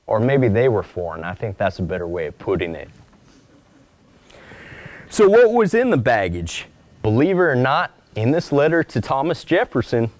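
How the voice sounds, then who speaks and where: A man in his forties gives a relaxed lunchtime lecture, his voice sitting at an everyday pitch. He talks in a chatty, self-deprecating way and slips into little acted-out asides. The sound is dry and close, with hardly any room echo. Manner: conversational, acts out little quoted asides; calls his own voice monotone. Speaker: archivist giving a lecture. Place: mid-size lecture room, indoors.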